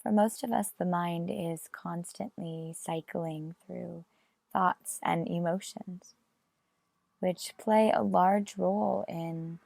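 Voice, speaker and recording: Female meditation guide, very unhurried with long pauses, plenty of vocal fry. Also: lowish voice, a little mellow, dry recording. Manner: measured, with long pauses between phrases.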